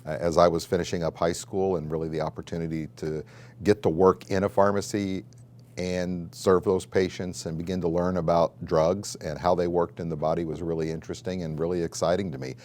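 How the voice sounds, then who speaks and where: A middle-aged man with an American accent, telling his story in a measured, conversational way as he answers interview questions. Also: low voice, fairly even intonation, a little mellow. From Southern Illinois, United States. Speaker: college dean and pharmacist.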